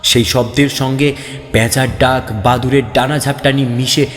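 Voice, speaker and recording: A man's voice: medium pitch, fairly even intonation, moderate pace. A man reading a scripted ghost story as a narrator, in a dramatic, suspenseful and theatrical manner. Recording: long reverb, background music. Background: music.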